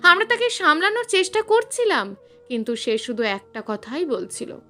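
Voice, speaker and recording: A woman's voice: sits high, normal speed, sharp tone, soft background music. A woman narrating a scripted suspense story in a dramatic, emphatic storytelling manner.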